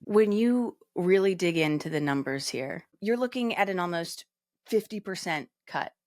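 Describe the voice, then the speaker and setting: A woman's voice: lowish voice, animated, normal speed, darkish tone, no echo, studio quality. A young woman hosting an interview, asking direct, probing questions. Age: young adult. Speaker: host. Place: studio set, indoors. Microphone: studio microphone on arm.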